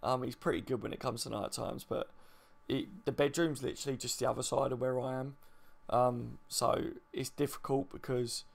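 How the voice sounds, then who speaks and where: Quiet, deep-voiced man chatting. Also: steady tone, moderate pace, creaky. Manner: quiet, laid-back, chatty. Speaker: channel host. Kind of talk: casual live stream chat. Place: at home, baby asleep next door. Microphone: mic right in front of his mouth.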